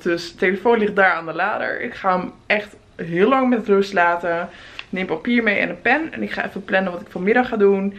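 A woman's voice: fairly low pitch, fairly bright tone. A young woman in her twenties, chatting upbeat to a handheld camera at arm's length while on holiday. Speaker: travel vlogger. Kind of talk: casual travel vlog. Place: outdoors, hotel rooms and a spa on holiday.

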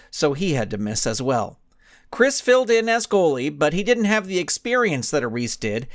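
A man reading his own children's story aloud in a playful, animated storytelling manner. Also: a little high. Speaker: author and narrator. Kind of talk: storybook narration.

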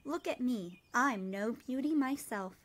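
A woman's voice: a little high, animated, measured pace. A woman voicing a kindly, plain-spoken glowworm in a storybook, frank and matter-of-fact. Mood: frank, reassuring.

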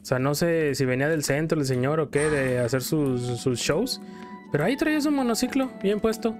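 A man's voice: on the high side, animated, normal speed, faint music behind. A man with a Mexican accent telling a story in casual, colloquial style while commenting as a gameplay host. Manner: colloquial, acts out quotes in passing.

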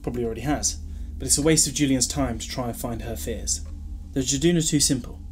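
A man playing a flippant, protective alien time traveller in a fan audio drama, with a husky voice and wry, bantering delivery. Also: medium pitch, fast, soft background music, natural voice. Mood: explanatory.

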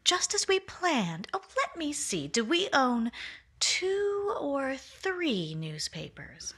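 A woman's voice: high voice, sing-song, slow pace, fairly bright tone, full character voice. A woman playing a cool, scheming wife in an old-time radio mystery, coaxing and purring endearments. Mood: silky coaxing. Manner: purring, calls him darling. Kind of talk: 1940s radio acting.

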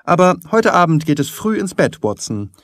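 A man voicing a shrewd, confident, courteous gentleman detective in an audiobook, formal, articulate and lightly patronising. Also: high-pitched, very animated, moderate pace, no echo, clean studio sound, voice pushed up. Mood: composed. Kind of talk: audiobook character reading.

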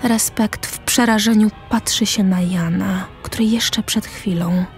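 Slow, measured woman narrating a radio drama. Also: mid-range voice, steady tone. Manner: measured short phrases. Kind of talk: scripted narration of a radio drama, reading stage directions. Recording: music behind the voice.

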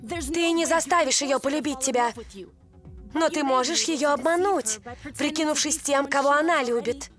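High-pitched, assured cartoon girl, acted by a woman. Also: moderate pace, over music, close to an everyday voice. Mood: scheming. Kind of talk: animated series dub acting.

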